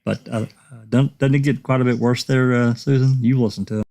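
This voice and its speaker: A man hosting a casual discussion of recorded calls, speaking in a halting, conversational way. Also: medium pitch, fairly even intonation, warm tone. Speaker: host.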